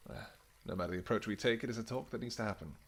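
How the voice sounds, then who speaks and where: Man acting low-voiced, tender vampire king. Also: creaky, husky. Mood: affectionate, relaxed. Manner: soft, courtly, hesitant, halting phrasing. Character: tender male vampire king, winged, regal and devoted lover. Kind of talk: romantic fantasy audio roleplay acting.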